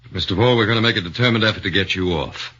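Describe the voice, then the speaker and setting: An actor in a 1940s radio drama plays a defense lawyer, speaking in a deliberate, formal, probing way. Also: male voice, fairly low pitch, pushed and bright, husky, lo-fi sound. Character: measured middle-aged defense lawyer.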